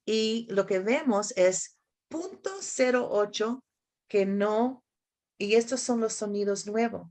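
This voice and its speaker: Slow-speaking woman. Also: medium pitch, darkish tone. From United States. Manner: halting, searching for words in a second language; often seeks agreement with tag questions. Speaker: academic expert giving a talk. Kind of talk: explanatory answers in a conference discussion.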